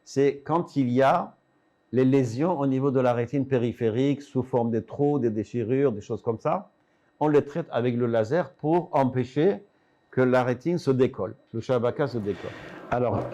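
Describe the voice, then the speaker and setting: An older man, originally from Iran, talks in a medium-pitched voice as a physician presenting his practice. He explains in a teacherly way, often setting out points one by one, as in an interview. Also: mellow. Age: 60s or older. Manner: didactic, asks and answers his own questions. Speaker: ophthalmologist.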